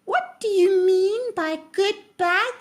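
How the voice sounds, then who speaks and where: A woman voicing an excitable, squeamish little girl in a children's storybook, squealing and exclaiming. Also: very high-pitched, slow pace. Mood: curious.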